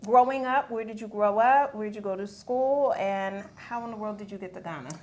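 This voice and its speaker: A woman's voice: medium pitch, brisk. A woman hosting an interview, guiding the conversation and backing the guest up with frequent agreement. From Philadelphia, USA. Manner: warm affirming with frequent backchannels. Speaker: host.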